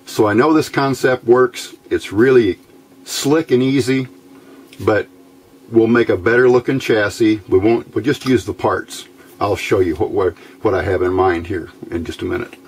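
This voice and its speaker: An American man giving a casual, unscripted hands-on demonstration, thinking aloud in a halting way as he goes. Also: fairly low pitch, steady tone, slightly rough. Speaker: hobbyist radio builder presenting a how-to video. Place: small indoor workbench. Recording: noticeable background noise.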